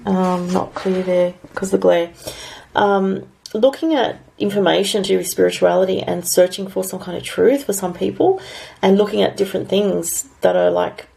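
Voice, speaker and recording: An Australian woman with a raspy voice, still recovering from illness, giving a casual weekly astrology and tarot forecast in a rambling, thinking-aloud way. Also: medium pitch, mellow, poor audio.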